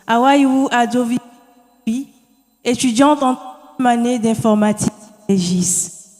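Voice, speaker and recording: A woman's voice: a little high, moderate pace, a little mellow. A young woman from Ghana, a student, giving a formal welcome speech. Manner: formal, declamatory. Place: hotel ceremony hall. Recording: echoey hall.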